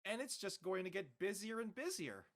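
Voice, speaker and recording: A woman playing a cautious, easygoing high school student who talks in casual slang in a scripted drama. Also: very animated, normal speed. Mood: casual, weary.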